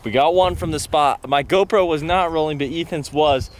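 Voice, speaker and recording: A man's voice: fairly high pitch, moderate pace. A young American man chatting casually and with upbeat slang for a fishing vlog, outdoors by the water. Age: young adult. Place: outdoors by a pond and creek. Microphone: handheld camera at arm's length. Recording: clear outdoor ambient noise.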